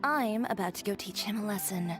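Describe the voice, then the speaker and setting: An actress playing a blunt, cool-headed boutique owner in a game, curt, confident and wry. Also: female voice, mid-range voice, a little fast, close to an everyday voice. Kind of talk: game cutscene voice acting. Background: game music.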